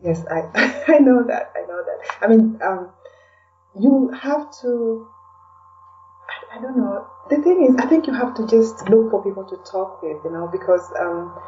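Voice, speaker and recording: A woman's voice: mid-range voice, lively intonation, moderate pace, warm tone. A woman talking casually as an interview guest, chatty and hesitant, often adding "you know.". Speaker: podcast guest, blogger. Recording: dull, distant, faint background noise.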